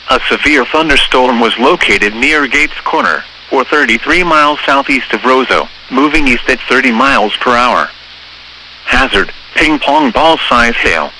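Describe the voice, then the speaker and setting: A synthesized male voice reading scripted emergency weather warnings in a formal, flat manner as a radio announcer. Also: mid-range voice. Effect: synthesized text-to-speech voice. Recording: noisy weather radio broadcast.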